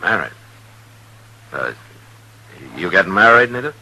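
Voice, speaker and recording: An American actor playing a gruff, weary, decent Old West marshal in a 1950s radio drama, speaking in a terse, measured way. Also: male voice, sits low, very animated, bright, forceful tone, exaggerated voice, poor audio. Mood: surprised, awkward. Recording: vintage 1950s radio recording, music.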